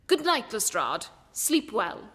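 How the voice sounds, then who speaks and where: A woman acts a composed, incisive detective in a radio play. The voice is high and precise, giving orders with assurance, and rings in a long hall-like reverb. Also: very animated, slow pace, bright, forceful tone, heavily acted. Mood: assured. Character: confident, incisive gentleman detective. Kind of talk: festive radio play acting.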